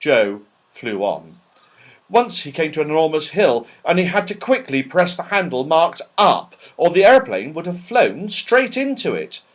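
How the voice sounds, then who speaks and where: Expressive, slow-paced male children's storyteller. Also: a little high. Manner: expressive, dramatic. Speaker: audiobook narrator. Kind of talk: animated children's story narration.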